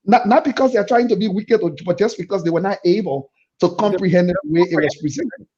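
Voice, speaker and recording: A Liberian man making a forceful argument in a radio panel discussion. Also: sits high, fast talker. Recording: dull lo-fi radio studio audio.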